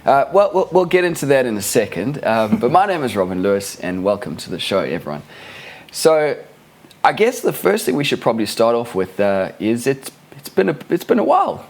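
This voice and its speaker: A man hosting a casual podcast, husky and hoarse from a cold, chatty and laughing as he tells stories with many restarts. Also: medium pitch, very animated, a little fast. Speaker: podcast host.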